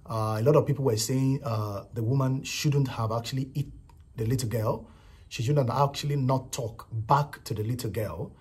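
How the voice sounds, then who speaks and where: Nigerian-accented male vlogger, very raspy voice, repetitive emphatic opinion delivery. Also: mid-range voice, normal speed, a little mellow. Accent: Nigerian English. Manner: repetitive, emphatic, frequent "you know" and "right". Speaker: vlogger commenting on a viral clip. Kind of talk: casual opinion commentary.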